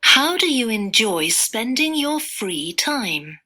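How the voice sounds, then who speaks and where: A woman interviewer slowly reading set questions and repeating each one clearly. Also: fairly high pitch, very animated, sharp tone.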